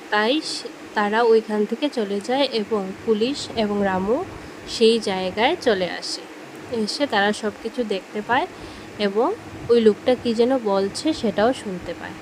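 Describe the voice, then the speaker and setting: A woman's voice: medium pitch, slight background noise, poor audio. Woman narrating a spooky children's story. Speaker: storyteller and channel host. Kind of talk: scripted story narration for a children's horror cartoon.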